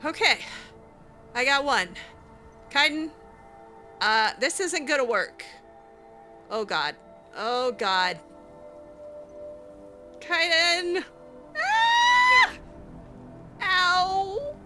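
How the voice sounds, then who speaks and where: A woman's voice: sits high, huge pitch swings, very slow, pauses often, pushed and bright, soft background music. A woman playing a game in character, talking in a sarcastic, exasperated, dramatic way. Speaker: let's play host roleplaying her character.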